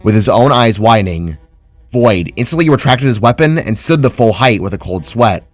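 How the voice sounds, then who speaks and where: A man's voice: fairly low pitch, lively intonation, normal speed. A man reading a scripted fantasy story as a dramatic narrator.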